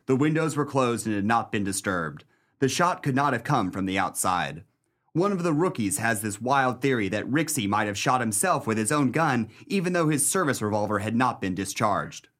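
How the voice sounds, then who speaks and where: A man's voice: medium pitch, lively intonation, moderate pace, a bit bright, lightly acted. A man playing a dogged, bitter police detective in a 1930s pulp crime radio play, with a wry, tough-talking manner. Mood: matter-of-fact.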